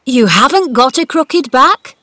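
An old gardener from a storybook, acted out by a woman, gruff on the surface and tender underneath. He speaks slowly and emphatically, full of feeling. The sound is close and dry. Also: high voice, expressive, pushed and bright, dry recording, pitched above the performer's own voice, full character voice. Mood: stunned, shaky. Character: cross old male gardener, gruff but tender-hearted.

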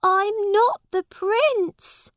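A woman's voice: extremely high pitch, drawn-out words, no room sound, clean studio sound, pitched above the performer's own voice, heavily acted. A woman voicing a frightened poor boy who is mistaken for a prince, pleading and crying out in a storybook reading.

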